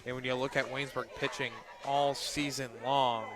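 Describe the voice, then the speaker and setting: A young man doing live radio play-by-play of a softball game as the announcer. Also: mid-range voice, level tone, moderate pace. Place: outdoors at a softball field. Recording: outdoor ballpark noise, crowd behind. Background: crowd and outdoor ambient noise.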